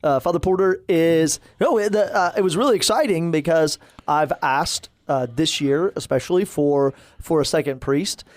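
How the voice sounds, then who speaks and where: A man's voice: fairly high pitch, moderate pace, few pauses, no room sound. A man, a parish priest chatting casually on a podcast, rambling with lots of fillers and restarts.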